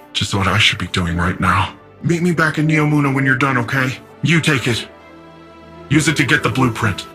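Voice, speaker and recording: An actor playing a grieving guardian of a city in a video game, reflective and halting. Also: male voice, mid-range voice, sharp tone. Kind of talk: dramatic video game dialogue.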